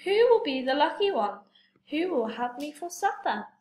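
A tiny, clever little toad from a children's storybook, high and breathless as he pleads with the animals who want to eat him, put on by a woman reading aloud. The voice sits well above her own and rings in a roomy echo. He begs and stalls, quick-witted under the fear. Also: sing-song, a little mellow. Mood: pleading. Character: tiny clever male toad, frightened then sly.

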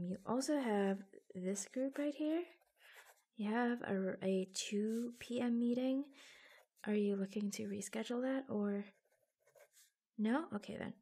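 A woman playing an affectionate, reassuring secretary in a soft-spoken ASMR roleplay, gently hesitant and often dropping into a whisper. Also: medium pitch, creaky. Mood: soft businesslike. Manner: gentle, hesitant, pausing between short phrases.

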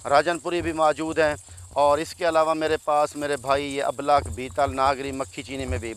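A man in his thirties from Pakistan talks in a slightly high voice, chatty and salesman-like. He keeps addressing his friends as he shows his herd. He speaks outdoors in an open field into a phone held at arm's length. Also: fairly bright tone, clear, resonant tone. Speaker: goat farm owner and seller. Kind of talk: casual sales walkthrough vlog.

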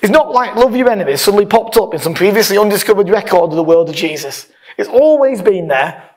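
A man's voice: high voice, expressive, fast talker, darkish tone. A man, a pastor giving an informal, conversational sermon from a church stage with emphatic stresses. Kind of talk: informal sermon, partly reading aloud. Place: church hall, speaking from a lectern on stage.

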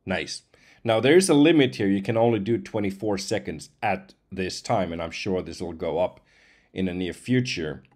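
Male voice-over artist, forties, somewhat low and a little hoarse, chatting informally. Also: animated, normal speed, darkish tone. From Finland. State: slightly hoarse. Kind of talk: casual demo talk to camera. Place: indoors at home computer, seen wearing headset.

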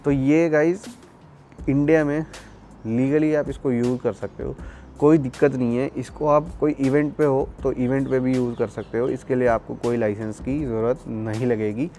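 A young man speaks at a medium pitch, explaining things casually as a vlog reviewer. He drops English words into his conversational talk. Faint music plays behind him. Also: steady tone, normal speed, mellow. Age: young adult. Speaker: product reviewer and vlogger. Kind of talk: casual product review to camera. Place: outdoors, terrace and courtyard.

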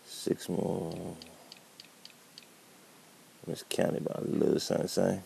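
A man's voice: sits low, level tone, measured pace, gravelly, dark tone, slight background noise. A man giving laid-back commentary while playing a building game, thinking aloud as he works, with a slight cold. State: catching a cold. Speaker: gameplay commentator. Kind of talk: casual gameplay commentary.

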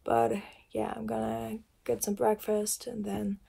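A young woman with a raspy voice chatting casually to her phone camera, rambling with fillers. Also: mid-range voice, sing-song, unhurried, dark tone, no echo. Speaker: vlogger. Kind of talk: casual vlog chat. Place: inside a car. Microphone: phone camera at arm's length.

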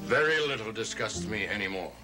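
An older man playing a grave, reassuring grandfather in a gothic soap opera, speaking in a measured, theatrical way. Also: lowish voice, lively intonation, over music, close to an everyday voice, poor audio. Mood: earnest. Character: grave, reassuring old grandfather of a cursed family. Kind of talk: 1960s gothic TV soap acting. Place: TV studio set of an old house.

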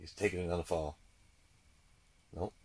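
A man giving casual running commentary as he fishes, muttering and repeating himself in a husky, gravelly voice. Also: low-pitched, steady tone, moderate pace, a little mellow. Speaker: ice angler demonstrating a fish finder. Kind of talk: excited casual running commentary while fishing.

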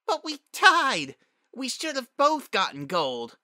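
Man doing a whiny, high-pitched pony girl. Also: huge pitch swings, slow, sharp tone, no room sound, clean studio sound, full character voice. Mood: whining. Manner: whiny, grumbling. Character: sulky, competitive young girl Pegasus.

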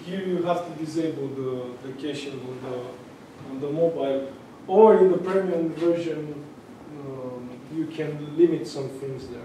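A young man with a Romanian accent giving a tech meetup talk in a large room, halting with many fillers and restarts. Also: on the high side, dark tone, a little hiss or hum. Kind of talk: conference talk. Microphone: far camera. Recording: echoey room from far off.

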